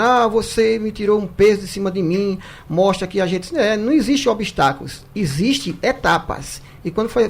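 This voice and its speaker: A man, a guest on a radio debate, telling stories in a jokey, chatty way and often repeating words as he starts. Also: sits high. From Paraíba, Brazil. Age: 50s. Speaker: guest, singer. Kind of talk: radio studio debate, casual storytelling.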